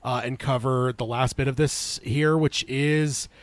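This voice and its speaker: A man's voice: medium pitch, steady tone, measured pace, dry recording. A man co-hosting a casual podcast, talking in a rambling way with lots of "uh" fillers and a slightly congested voice. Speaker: podcast co-host.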